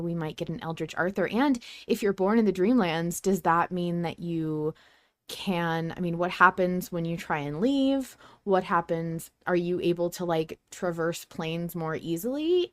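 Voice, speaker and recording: A woman's voice: on the low side, no room sound. A woman hosting a podcast, talking casually and speculatively as she thinks aloud and hedges her points. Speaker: podcast host.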